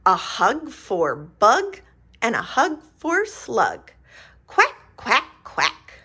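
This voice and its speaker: A cheerful little duckling from a picture book bounces through the story, as a woman reads it aloud. Her voice swoops wildly in a sing-song way and climbs to squeaky highs on every quack. The reading is slow and a bit breathy. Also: wildly swooping pitch, sharp tone, exaggerated voice. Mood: playful.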